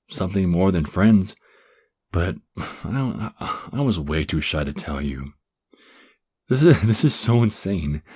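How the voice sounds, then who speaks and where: A young man acting a shy, flustered guy in love with his best friend in an ASMR roleplay, speaking softly and hesitantly with stammers. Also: low voice, heavily acted. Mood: tender, relieved. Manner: hesitant, stammering, breathy sighs and chuckles. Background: crickets chirping.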